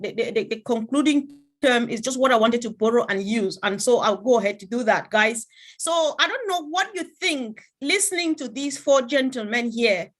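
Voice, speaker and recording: A woman's voice: a little high, fairly bright tone. A woman from Africa hosting a panel chat, speaking to viewers in an encouraging, preacher-like way. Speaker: host.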